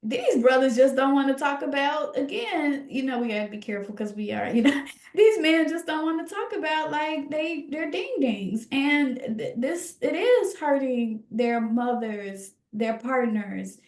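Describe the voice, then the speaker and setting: A woman with a higher-than-average voice speaks in a roomy, echoing space. She talks like a scholar co-hosting a podcast, reflective and conversational. Her delivery is emphatic and halting, with words repeated to drive points home. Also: lively intonation, a little mellow.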